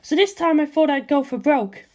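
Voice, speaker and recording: A bold young woman fighter from a spoken adventure story, voiced by its reader, tossing off her lines with breezy, cheerful confidence. Her voice sits far higher than the narration around her, light and playful even with danger ahead. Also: moderate pace, natural voice. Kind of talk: audiobook character voice.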